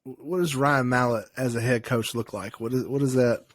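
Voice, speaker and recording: A man co-hosting a podcast, asking a question in a halting way with restarts. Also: mid-range voice, steady tone, no echo. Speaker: podcast co-host. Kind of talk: casual interview chat.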